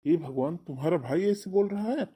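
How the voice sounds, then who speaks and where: A man playing a gentle, worried middle-aged father in a cartoon family melodrama, soothing and questioning. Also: sits high, lively intonation, brisk, husky. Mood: dismayed, soothing. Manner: concerned, soothing. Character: concerned, gentle middle-aged father comforting his child.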